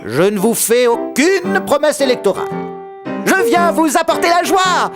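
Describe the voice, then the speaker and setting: A man voicing a showy male fake preacher who delivers a theatrical sales pitch for salvation. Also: sing-song, moderate pace. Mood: preachy. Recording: music bed behind.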